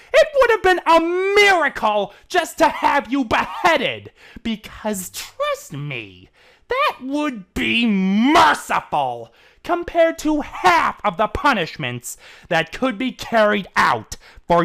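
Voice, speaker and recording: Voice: mid-range voice, huge pitch swings, slow, creaky, a bit bright, voice pushed up, exaggerated voice. An amateur reader voicing a stern, angry stallion prince and protective older brother, accusatory and emphatic, in a fan fiction reading. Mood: accusing.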